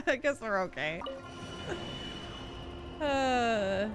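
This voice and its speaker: High-voiced, animated woman streaming a game. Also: extremely slow. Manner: giggly, second-guessing, thinks out loud. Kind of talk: casual gameplay commentary to live viewers. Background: game music and character voice lines.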